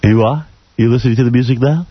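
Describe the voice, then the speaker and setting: A male radio host with a deep voice, trading teasing, mock-bossy banter. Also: fast talker, breathy edge, no echo. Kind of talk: joking talk radio banter. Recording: dull lo-fi radio recording.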